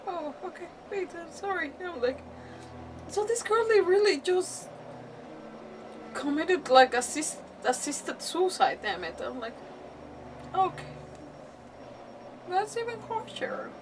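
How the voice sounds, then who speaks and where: A woman streaming a game with casual, emotional reactions, halting as she searches for words. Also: extremely high pitch, very animated, recorded from a distance, a little hiss or hum. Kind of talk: casual live gameplay reaction commentary.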